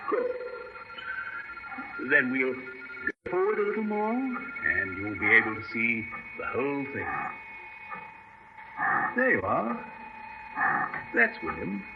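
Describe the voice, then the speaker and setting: A doctor in an old radio horror drama, proud of his experiment. His pitch moves in animated swings as he coaxes and reassures with precise assurance. The recording is dull, with clear noise behind it. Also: slow pace, pauses often, full character voice. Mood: gently coaxing.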